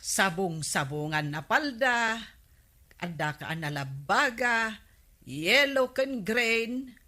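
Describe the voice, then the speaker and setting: Radio comedy neighbour female actor: envious gossip, forceful bright tone, drawn-out exclamatory delivery. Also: mid-range voice, lively intonation. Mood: gossipy. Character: envious, gossiping neighbour woman who loves shopping. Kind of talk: Ilocano comedy radio drama acting.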